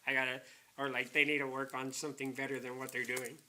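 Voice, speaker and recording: A man with a slightly raspy voice, talking casually as a guest DJ in an interview. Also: mid-range voice, flat delivery, fast, sharp tone, slight background noise. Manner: casual, filler-heavy with "it's like".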